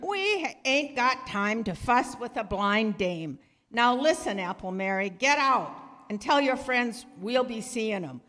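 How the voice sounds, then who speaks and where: A commanding, ruthless gangster boss, played by a woman in vintage radio drama style. The voice is on the high side and barks slangy orders. There is a long hall reverb around it. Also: expressive, a little slow, a bit bright. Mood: triumphant, hurried. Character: male gang boss, bossy ruthless gunman. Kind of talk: 1930s radio crime drama acting.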